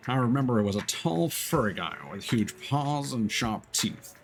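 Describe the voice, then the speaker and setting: A man's voice: mid-range voice, lively intonation, moderate pace, slight background noise, close to an everyday voice. Man voicing a small, polite robot treasure hunter. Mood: earnest, grateful.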